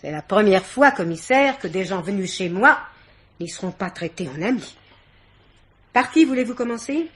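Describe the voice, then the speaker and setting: An actress playing a dignified, guarded middle-aged guardian aunt in a French radio mystery play, speaking with polite haughtiness. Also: female voice, mid-range voice, expressive, normal speed, fairly bright tone, slightly rough. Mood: guarded, offended. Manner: polite, haughty, carefully articulated indignation.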